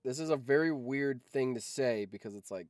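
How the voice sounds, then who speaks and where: A man's voice: medium pitch, moderate pace, darkish tone, no echo, studio quality. A man hosting a livestream in a casual chat, rambling along and swearing freely. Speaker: livestream host.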